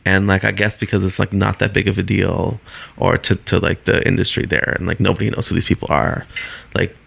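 A man's voice: level tone, a little fast, creaky, a bit bright, slight background noise. A man from Canada, a stand-up comedian rambling loosely as a podcast guest. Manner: loose, rambling, acts out quotes in high voices. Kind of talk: casual interview chat.

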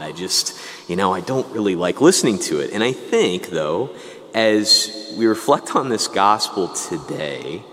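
Deep-voiced priest in an echoing church. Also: male voice, fairly bright tone. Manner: chatty, self-deprecating, joking. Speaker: parish priest. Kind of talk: Sunday homily. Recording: long church reverb.

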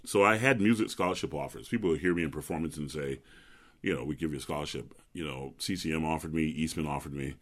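A man with a very low, resonant bass voice that carries. He is middle-aged and talks in a relaxed, chatty way, telling long stories and laughing easily as an interview guest. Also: fast. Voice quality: deep resonant bass speaking voice.

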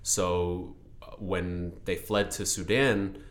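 A man's voice: sits low, little pitch movement, takes it slowly. A young man raised in Seattle in an Ethiopian family, giving thoughtful, explanatory answers as an interview guest, often restarting his sentences. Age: young adult.

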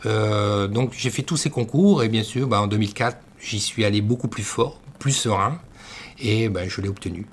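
A middle-aged man from northern France, a master baker, telling his career story in an interview with many pauses and restarts. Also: on the low side, husky. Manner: hesitant, many fillers and restarts. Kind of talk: conversational interview.

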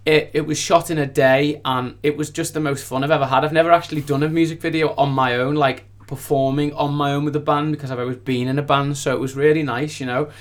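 A man's voice: a little high, little pitch movement, quick pace, few pauses. A man speaking as a musician guest, telling anecdotes in a casual, gushing, enthusiastic way. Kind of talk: casual anecdotal interview chat.

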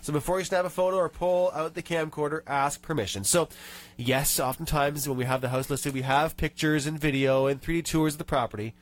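A man's voice: on the high side, fairly even intonation, a little fast. A man hosting a radio show in a studio, nasal from a cold, chatting and reading tips aloud with small rants. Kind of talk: casual radio chat.

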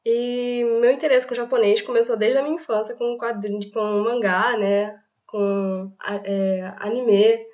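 A woman's voice: on the high side, level tone, measured pace, a little mellow. A young woman from Brazil, a student sharing a heartfelt testimonial. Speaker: listener and language student. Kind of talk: recorded testimonial. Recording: dull lo-fi audio.

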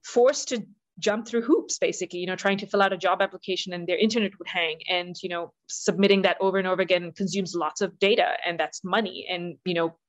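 A woman's voice: on the low side, fairly even intonation, a little fast, poor audio. A middle-aged woman from southern India giving earnest, articulate, explanatory answers as an interview guest, stressing her key points. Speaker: interview guest, youth employment leader.

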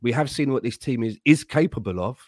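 A man talks football in a measured, careful way, restarting as he thinks aloud. His mid-pitched voice sounds dry and close. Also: expressive, darkish tone, no echo. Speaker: fan panel guest. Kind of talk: casual football fan chat.

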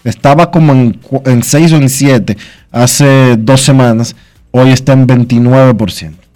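A man's voice: mid-range voice, steady tone, unhurried, a little mellow, faint music behind. A man co-hosts a casual radio show, his voice congested with flu. He speaks in an informative way, reading out numbers and announcements plainly. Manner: informative, reads out figures. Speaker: radio co-host.

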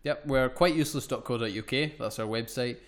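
A man's voice: medium pitch, brisk. A young man, co-hosting a casual podcast and steering it along with frequent ums and restarted words. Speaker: podcast co-host.

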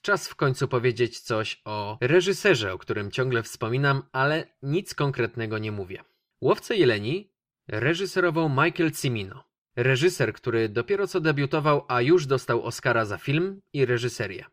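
A man's voice: medium pitch, lively intonation, normal speed, dry recording, studio quality. A man hosting a radio film review, talking conversationally and addressing the listeners directly. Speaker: radio host reviewing films.

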